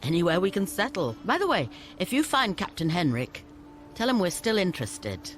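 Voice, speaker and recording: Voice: mid-range voice, very animated, moderate pace, soft background music, close to an everyday voice. An actor playing a shrewd, condescending scavenger boss making deals in a video game. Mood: businesslike. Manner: condescending, businesslike. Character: brisk, shrewd scavenger boss, sardonic dealmaker.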